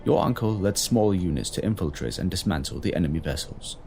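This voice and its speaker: A man playing a grave, kindly fleet captain in an audio drama, speaking formally and in a measured, solemn way. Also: low-pitched, expressive, darkish tone, faint music behind. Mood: formal, cordial.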